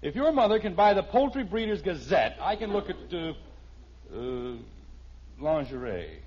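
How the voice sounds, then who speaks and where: A man's voice: high voice, sing-song, moderate pace, a little mellow. A man playing a flustered middle-aged American father in a radio sitcom, hesitant and stammering. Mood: embarrassed. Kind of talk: 1950s radio sitcom acting. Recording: muffled old radio recording, faint noise.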